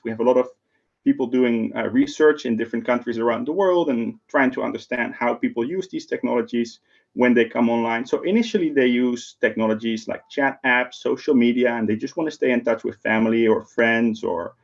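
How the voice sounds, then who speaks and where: Dutch guy giving a keynote on a video call, bit of gravel in his voice, keeps saying right?. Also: medium pitch, dull audio. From Netherlands. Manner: conversational, often adds "right?". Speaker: keynote speaker. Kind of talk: online lecture.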